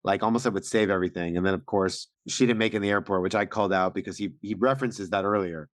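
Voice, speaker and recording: A man's voice: lowish voice, quick pace, no room sound, clean studio sound. A man co-hosting a podcast in casual, informal conversation, with some swearing. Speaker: podcast co-host.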